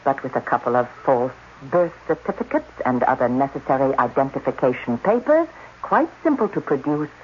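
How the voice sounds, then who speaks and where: Actor as an impassioned scientist, wildly swooping voice. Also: male voice, mid-range voice, sing-song, brisk, natural voice. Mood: persuasive, urgent. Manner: intense, dramatic, insistent. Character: impassioned, cunning male scientist, protective and persuasive. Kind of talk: 1980s radio drama acting. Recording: dull, noisy old radio recording.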